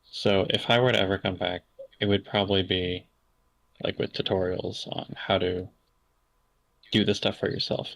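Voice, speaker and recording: A young Canadian man with a low, gravelly voice full of vocal fry, speaking casually as a podcast guest. He rambles and hesitates, restarting his sentences and leaning on filler words. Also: flat delivery, moderate pace, pauses often, a bit bright, dry recording. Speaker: interview guest.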